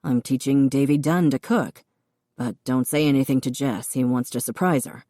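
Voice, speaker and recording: A dry-witted, gruff grown man who answers in short, understated lines, pitched low and spoken slowly, as a woman narrator puts him on. The sound is close and dry. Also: dark tone, no room sound.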